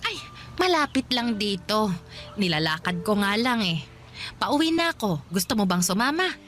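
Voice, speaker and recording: A woman playing a kind, motherly elderly widow in a radio drama, with a Filipino accent and gentle, reassuring manner. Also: medium pitch, big pitch swings, normal speed, soft background music. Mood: kind, inviting. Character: kind elderly churchgoing widow taking in a youth.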